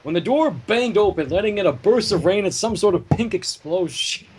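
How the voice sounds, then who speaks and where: A man's voice: high-pitched, animated, normal speed, background noise. A man narrating a fan fiction story as a streamer, with casual asides between passages.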